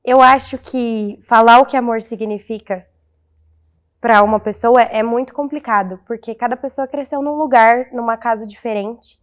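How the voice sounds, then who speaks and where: A 21-year-old woman with a slightly high voice answers interview questions. She weighs her ideas in a considered, analytical way. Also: fairly even intonation, normal speed.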